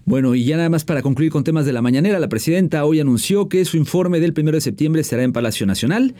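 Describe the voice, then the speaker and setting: Fast-talking mellow Mexican newsman. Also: on the high side, animated. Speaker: news radio host. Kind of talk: news presenting, part scripted, part conversational.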